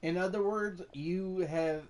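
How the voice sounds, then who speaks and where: A man's voice: sits high, steady tone, takes it slowly, a little mellow. A man reading game dialogue aloud over gameplay.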